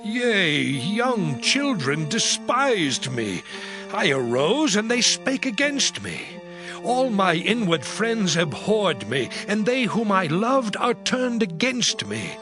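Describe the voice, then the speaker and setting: An actor playing a suffering man who pleads with his friends, in a dramatized scripture reading with anguished, slow declamation. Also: high-pitched, sing-song, full character voice. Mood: grieving. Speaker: actor voicing Job. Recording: music bed under voice.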